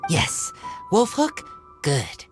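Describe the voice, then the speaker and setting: A woman voicing a shy, gruff, earnest teenage boy raised in the wild, speaking in halting broken phrases for a video game. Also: on the low side, wildly swooping pitch, soft background music, heavily acted. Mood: sincere.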